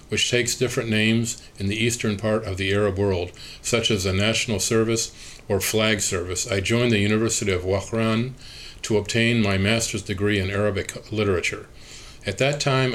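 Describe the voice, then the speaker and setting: A man reading an oral history interview transcript aloud at a measured, even pace, speaker labels included. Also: lowish voice.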